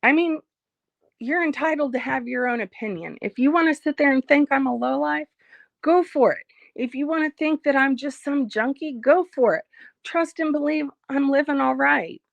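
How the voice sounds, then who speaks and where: A woman hosting a casual livestream, reading out viewer comments and speaking frankly about her life, slightly hoarse as she loses her voice. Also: high-pitched, moderate pace, no room sound, muffled recording. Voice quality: frank, blunt, swears freely. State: losing her voice, a bit hoarse. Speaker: livestream host.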